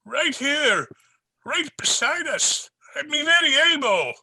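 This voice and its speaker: An actor playing a distraught older police sergeant in an old-time radio drama, halting and repeating himself in grief. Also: male voice, very high-pitched, big pitch swings, creaky, bright, forceful tone, heavily acted. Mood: shaken. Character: anguished, shaken older Irish police sergeant, grieving uncle.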